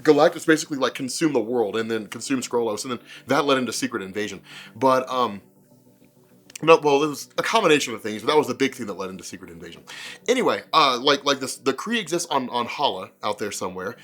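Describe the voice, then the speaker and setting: A man hosts a casual fan commentary video in a mid-pitched voice that sounds stuffed up with a cold. He talks quickly and conversationally, looping back over phrases as he rambles. Music plays behind him. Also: lively intonation, a bit bright. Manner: rambling, conversational, repeats phrases and says like often. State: congested from a cold. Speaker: comics explainer video host.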